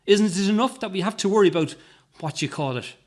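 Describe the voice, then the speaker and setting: A man voicing a belligerent, stressed elderly woman shopper in a story, scolding in a shrill, growling voice. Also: expressive, fast talker. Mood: indignant scolding. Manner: scolding, accusing.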